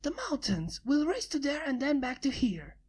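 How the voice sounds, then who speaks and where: A brash, boastful young pegasus mare, as a woman reads her out. Her voice is mellow and unhurried, full of swagger. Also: high-pitched, big pitch swings, voice pushed up. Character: cocky fast female pegasus flyer.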